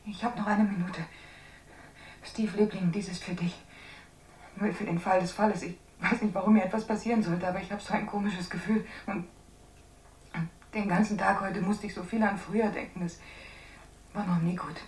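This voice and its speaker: An actress in a 1960s German radio drama playing a frightened young woman, speaking in a halting, pleading, intimate way. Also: mid-range voice, steady tone, a little mellow, echoey room, far from the mic, lightly acted. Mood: fearful, tender. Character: frightened young woman leaving a desperate farewell message.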